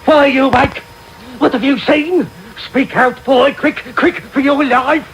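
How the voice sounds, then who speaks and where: A man's voice: very high voice, steady tone, measured pace. An actor playing a sly, greedy old crook in a radio drama, fawning and muttering as he repeats his phrases. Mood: alarmed, menacing. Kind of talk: 1990s radio drama acting. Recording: noisy medium-wave radio broadcast taped off air.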